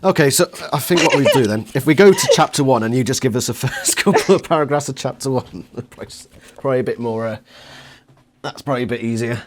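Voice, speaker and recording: A man speaks at a middle pitch as an interviewer. He chats casually and puts his questions together as he talks, in tentative pieces. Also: fast, a little hiss or hum.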